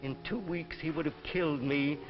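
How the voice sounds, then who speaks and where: Casting: man to play a guilt-racked soul in a fifties TV drama, impassioned, higher-set voice, muffled old recording. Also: steady tone, lightly acted. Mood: guilty, grave. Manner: halting, impassioned, theatrical. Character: anguished, guilt-ridden man burdened by a terrible power. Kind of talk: 1950s television drama acting. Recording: muffled 1950s TV soundtrack, faint music.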